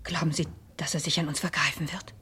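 An actress playing a curious, composed madame in a radio crime drama, speaking in a reflective, wry way. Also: female voice, deep voice, level tone, quick pace, pushed and bright, a little hiss or hum. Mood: wry, uneasy. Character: curious, composed madame narrating her own dangerous case. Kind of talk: German radio crime drama acting and narration.

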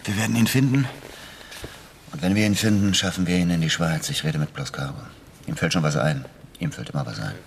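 Hard-boiled American ex-soldier, very low voice, brisk, cynical, laconic, radio crime drama. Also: male voice, vocal fry, a little hiss or hum. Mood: businesslike. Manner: laconic, matter-of-fact, cynical. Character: cynical, flippant American war veteran hired as investigator.

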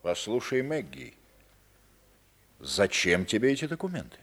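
An actor playing a blunt, suspicious husband in a radio drama. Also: male voice, mid-range voice, sing-song, a little slow, gravelly, a bit bright, exaggerated voice. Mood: low, suspicious. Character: blunt, suspicious husband questioning his wife.

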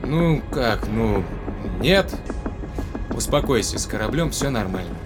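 A man's voice: medium pitch, expressive, measured pace, music in the background, close to an everyday voice. An actor playing a self-assured male spaceship commander in an audio drama, speaking in an offhand, matter-of-fact way. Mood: offhand, reassuring.

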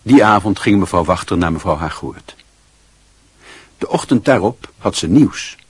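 A man's voice: sits low, slightly rough, no room sound, full character voice. An actor as a sober male storyteller, reading scripted narration for a radio play.

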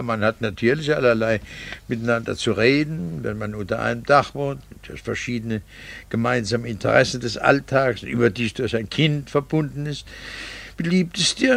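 A man's voice: medium pitch, creaky, a bit bright, no room sound. A man playing a bitter, jealous husband in a 1960s German radio play, with scornful, formal theatrical diction. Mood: cold scorn. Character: bitter, jealous husband, long resentful of unfaithful wife.

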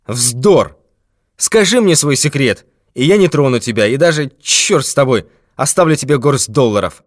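A man voicing a brutal, mocking red-haired robber who shouts threats in a raised, forceful voice. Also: medium pitch, lively intonation, normal speed, no room sound, clean studio sound, close to an everyday voice. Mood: threatening.

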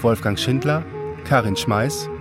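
A man's voice: medium pitch, unhurried. A man reading a scripted credits announcement for a radio production. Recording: music behind. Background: music.

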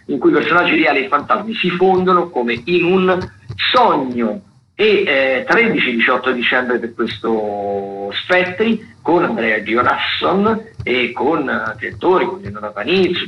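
A man's voice: medium pitch, muffled recording, slight background noise. A man hosting a radio programme, reading out listings in a semi-scripted way, often breaking off and correcting himself. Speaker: radio host of a theatre programme. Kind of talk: semi-scripted spoken listings of theatre shows. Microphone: radio studio microphone.

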